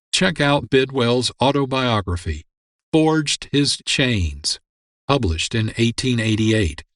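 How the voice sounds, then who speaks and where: A man hosting a radio programme, reading scripted announcements in a measured, informative way. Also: medium pitch, a bit bright, no echo, studio quality. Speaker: radio show host. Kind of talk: scripted radio outro narration.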